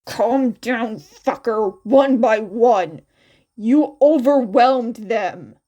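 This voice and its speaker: A woman's voice: fairly high pitch, extremely slow, mellow. A woman voicing a brash, incredulous teenage boy with a blunt, demanding manner, in a fan fiction story reading. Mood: irritated.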